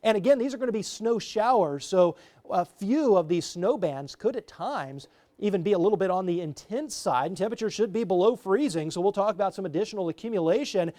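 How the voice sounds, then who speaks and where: A man with a fairly high, bright voice gives the morning weather on local TV. He talks in a chatty, easygoing way, with a few fillers and self-corrections. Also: animated, brisk. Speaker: meteorologist. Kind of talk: TV weather forecast.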